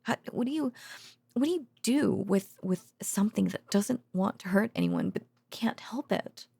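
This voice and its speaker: A woman playing a tender, worn-out researcher girlfriend in an intimate bedtime audio roleplay, speaking haltingly and drifting into whispers. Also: medium pitch, huge pitch swings, creaky, mellow, breathy edge, exaggerated voice. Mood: sad, pitying.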